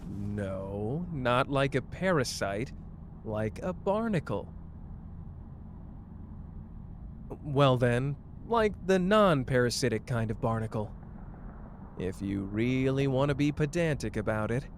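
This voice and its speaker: A man's voice: mid-range voice, animated, a little slow, a little hiss or hum. A man playing a cocky, caring young sorcerer in a roleplay ASMR drama, teasing and tender as the listener's partner. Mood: playful teasing.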